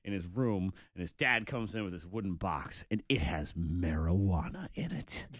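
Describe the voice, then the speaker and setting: A man's voice: deep voice, expressive, moderate pace, no echo, clean studio sound. A man hosting a morning radio show, joking loosely with co-hosts and callers and acting out bits of stories. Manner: jokey, loose, acts out voices in stories. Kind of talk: casual radio banter. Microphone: studio microphone.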